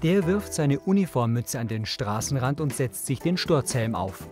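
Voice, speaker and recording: A man's voice: medium pitch, animated, normal speed. Matter-of-fact male narrator over music. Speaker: audio description narrator. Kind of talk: scripted narration of TV scenes, present tense.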